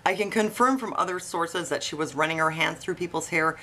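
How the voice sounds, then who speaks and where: A woman's voice: fairly low pitch, fairly quick, pushed and bright. A woman giving informal investigative commentary to online viewers in a conversational, questioning way. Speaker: online video host. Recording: noisy, buzzing tree-cutting outside. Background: buzzing from tree cutting outdoors.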